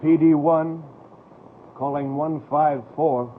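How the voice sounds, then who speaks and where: A man's voice: a little high, fairly even intonation, slow. A man playing a dry police radio dispatcher on night shift, in old-time American radio drama style. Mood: businesslike. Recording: muffled, hissy vintage radio recording.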